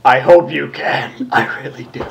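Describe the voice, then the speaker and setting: A man's voice: mid-range voice, measured pace, creaky. A man doing an informal comic read-aloud, giggly and often stumbling over the text. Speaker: fan fiction co-reader.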